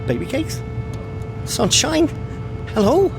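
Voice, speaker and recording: A man's voice: extremely high pitch, very animated, takes it slowly, gravelly, music in the background, exaggerated voice. A man playing a desperate, meek, down-on-his-luck park owner in a game cutscene, hesitant and wheedling. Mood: desperate pleading. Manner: hesitant, stammering, pleading. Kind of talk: video game cutscene acting.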